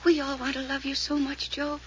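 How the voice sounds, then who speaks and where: Woman playing an anxious, high-voiced young sister. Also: completely flat delivery, a little fast, lightly acted. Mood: pleading, hurt. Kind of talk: 1940s radio serial acting. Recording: old radio recording with background noise.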